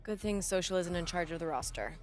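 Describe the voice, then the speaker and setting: A woman's voice: fairly low pitch, expressive, fairly quick, close to an everyday voice. A woman playing a frank, supportive advisor in a video game. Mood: frank, persuasive. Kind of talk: naturalistic video game cutscene acting.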